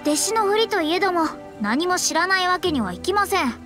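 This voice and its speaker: A woman's voice: very high voice, big pitch swings, brisk. A woman playing a proud, confident young female astrologer in an anime game, speaking politely with a boastful, self-assured manner. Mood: instructive. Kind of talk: anime game character dialogue. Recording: background music under clean voice.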